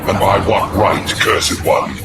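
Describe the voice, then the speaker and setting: An ancient demonic game villain with a very deep male voice. He speaks slowly and grandly, full of haughty menace and thirst for revenge, with loud music behind him. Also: fairly even intonation. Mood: bitter indignation. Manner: grandiose, slow, deliberate, menacing. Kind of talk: theatrical video game villain acting.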